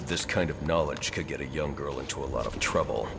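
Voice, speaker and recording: A man's voice: a little fast, noisy background. An actor playing a grim, brooding male vigilante detective in a video game, terse and menacing. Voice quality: gravelly, very deep. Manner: terse, menacing, low and controlled. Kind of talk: video game cutscene acting. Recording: loud score behind voice.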